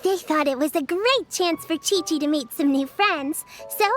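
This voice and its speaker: A woman voicing a polite, earnest little girl who speaks gently and properly in a video game. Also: sits high, moderate pace, a little hiss or hum, close to an everyday voice. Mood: gentle.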